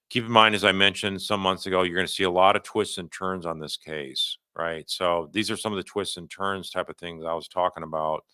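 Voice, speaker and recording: Deep-voiced man giving off-the-cuff legal commentary. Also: pushed and bright, clean tone, no room sound, studio quality. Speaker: host of a legal commentary livestream. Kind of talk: unscripted legal commentary answering viewer questions.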